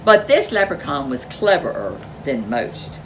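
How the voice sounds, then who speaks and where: A woman storyteller reading a folk tale picture book aloud in a gentle, expressive way. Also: deep voice, unhurried, very noisy.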